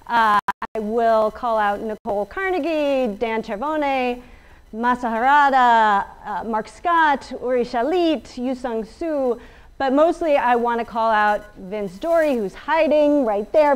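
A woman statistician giving a lively talk to a crowd without a working microphone, speaking energetically with many fillers and questions to the audience. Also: a little high, unhurried, clean tone. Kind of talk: conference talk. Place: large open office event space. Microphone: no working mic, room pickup from a far camera.

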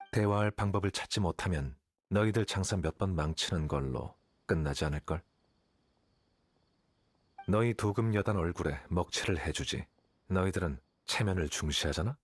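A man voicing a cool, blunt, calculating young scholar in a video game, with a detached, measured, condescending manner. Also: low-pitched, husky. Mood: cool, threatening. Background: game music.

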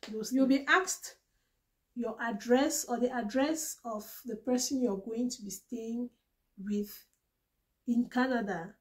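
A woman's voice: on the high side, a little mellow. A young woman from Cameroon chatting earnestly about her own trip and giving practical advice in a casual vlog. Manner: chatty and earnest, retelling her own experience with small restarts. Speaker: vlogger sharing travel tips.

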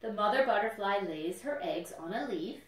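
A woman hosting a children's storytime, explaining nature to young listeners in simple, expressive language. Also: mid-range voice, normal speed. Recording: roomy echo, distant microphone.

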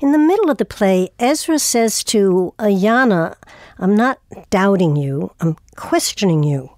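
A woman's voice: medium pitch, expressive, a little slow, a little mellow, dry recording, studio quality. A woman hosting an interview, putting prepared questions to her guests. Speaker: interviewer, host.

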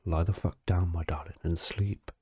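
A tipsy man with a very low, husky voice reads like he is telling a bedtime story. He goes slowly and deadpan, with casual swearing, in a close, dry sound. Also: no room sound. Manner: slow, sweary, deadpan, stumbling over words. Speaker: story reader. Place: alone in a quiet, dry-sounding space.

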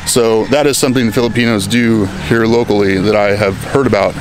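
A man's voice: on the low side, fairly even intonation, a little fast. An American man in his thirties talking to a handheld camera in a town plaza, rambling and joking in a casual vlog. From United States. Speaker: vlogger. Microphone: handheld camera at arm's length. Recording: outdoor recording with clear street noise.

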